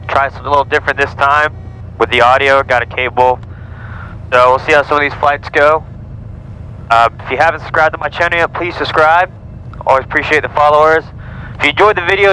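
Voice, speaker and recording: A man's voice: fairly high pitch, rough recording. An American man, a pilot and vlogger, talking casually and making radio calls on a headset from a small airplane cockpit. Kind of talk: aviation radio calls and casual vlog talk. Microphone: aviation headset via audio cable. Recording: loud small aircraft engine noise.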